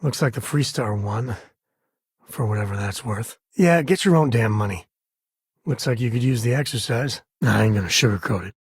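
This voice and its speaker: A rugged, folksy spacefaring cowboy for a video game. His American voice is heavily husky and sits at a medium pitch, with a wry, protective fatherly manner. The sound is dry, with no room on it. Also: normal speed, dry recording. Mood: wry, easygoing. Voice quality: husky, rasping. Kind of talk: video game character dialogue.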